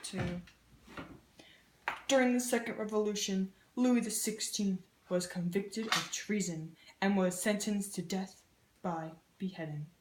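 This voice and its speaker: A woman's voice: medium pitch, measured pace, noisy background. A young woman, a student presenter, narrating history from a script as part of a two-person skit. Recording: roomy, echoey room sound.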